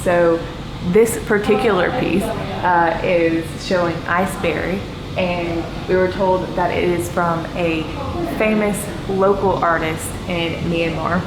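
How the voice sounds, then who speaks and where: A woman's voice: fairly low pitch, fairly even intonation, slow. A woman in a hotel dining area chatting upbeat about breakfast for a travel vlog. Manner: chatty and upbeat, describing food. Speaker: vlogger. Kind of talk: casual vlog narration. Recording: echoey room with background noise.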